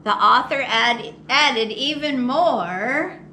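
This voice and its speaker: Casting: female children's storytime reader, high-pitched and unhurried, theatrical delivery, faint noise behind. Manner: expressive, theatrical, with mock exasperation and drawn-out words. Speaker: storytime host at a public library. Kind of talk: animated read-aloud of a children's picture book.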